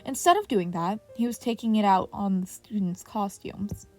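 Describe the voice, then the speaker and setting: Casting: female story narrator, medium pitch, faint piano music behind her scripted reading. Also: moderate pace. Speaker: podfic narrator. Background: soft piano music.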